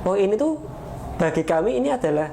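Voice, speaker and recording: A man's voice: sits high, sing-song, a little fast, vocal fry, dark tone. A man with an Indonesian accent hosting a podcast, asking casual questions informally and rephrasing them as he goes. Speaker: podcast host. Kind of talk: casual interviewing. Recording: background noise.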